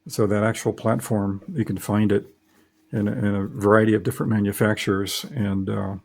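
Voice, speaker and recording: A man's voice: fairly low pitch, flat delivery, fairly quick, vocal fry. Middle aged guy, ham radio hobbyist, just talking plainly and explaining stuff for his vlog. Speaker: host, amateur radio hobbyist.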